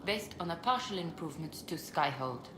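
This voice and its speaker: Low, echoey, deadpan woman researcher played by an actress. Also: normal speed, very noisy, lightly acted. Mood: detached, dutiful. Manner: detached, deadpan, formal. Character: flat, emotionless woman researcher of creatures, dutiful. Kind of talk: scripted video game dialogue.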